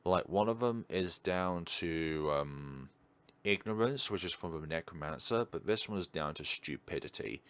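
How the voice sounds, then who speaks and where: A man's voice: low-pitched, lively intonation, a little slow, fairly bright tone, clean tone. A man hosting a gaming video, reading a visual novel aloud and commenting casually.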